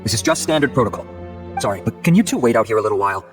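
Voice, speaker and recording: Man acting a polite, fast-talking officer. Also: lowish voice, expressive, over music, natural voice. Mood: calm, official. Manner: polite, formal. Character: courteous, composed male law officer. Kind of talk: video game voice acting.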